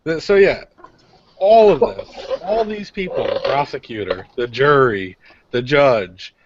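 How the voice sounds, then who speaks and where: An American man co-hosting a casual radio show, talking haltingly and repeating words as he starts. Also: medium pitch, lively intonation, noisy background. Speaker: radio show co-host and activist blogger. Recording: dull, lo-fi audio.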